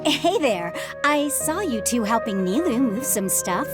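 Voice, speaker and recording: A woman playing a kind, contented mother in a video game, speaking politely, calmly and sincerely. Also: fairly high pitch, a little slow. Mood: friendly, content. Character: kind, contented widowed mother, theater prop worker. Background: faint game music.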